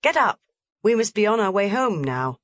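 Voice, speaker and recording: A woman's voice: medium pitch, sing-song, moderate pace, no echo, muffled recording, voice pushed up, close to an everyday voice. A woman voicing a young goatherd boy who speaks bluntly and plainly. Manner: blunt, matter-of-fact.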